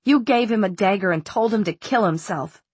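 A woman's voice: mid-range voice, moderate pace, breathy edge, dry recording, natural voice. A woman voicing a distraught, defiant teenage heroine in an audiobook, speaking with accusing emphasis.

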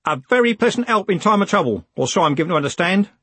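A man's voice: sits high, expressive, fast, fairly bright tone, slightly rough, dry recording, pitched above the performer's own voice, heavily acted. A man voicing a wry, amused male coach driver who teases his listener.

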